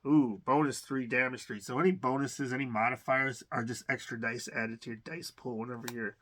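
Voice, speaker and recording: A man's voice: medium pitch, a little fast. A man hosting an unboxing video, talking casually with lots of fillers and restarts and a slight cough. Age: adult. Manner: relaxed, rambling, many fillers and restarts. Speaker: unboxing channel host.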